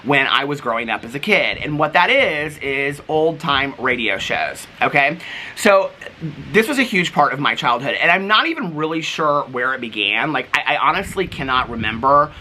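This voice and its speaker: Male vlogger, sharp bright tone, chatty and gushing, loose rambling delivery, slight background noise. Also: a little high, very animated, moderate pace, low-quality recording. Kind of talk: casual, chatty vlog talk to viewers.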